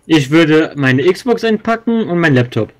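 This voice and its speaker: A man's voice: a little high, lively intonation, unhurried. A man from Düsseldorf chatting casually while playing a game, joking and often trailing off mid-sentence. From Germany, Düsseldorf. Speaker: let's play host. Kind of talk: casual gaming chat.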